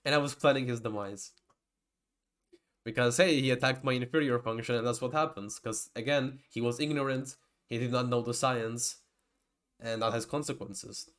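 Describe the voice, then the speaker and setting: A man's voice: medium pitch, fairly even intonation, moderate pace, fairly bright tone. A young man, a native Georgian speaker, giving a rambling, casual lecture with many restarts and digressions. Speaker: typology lecturer.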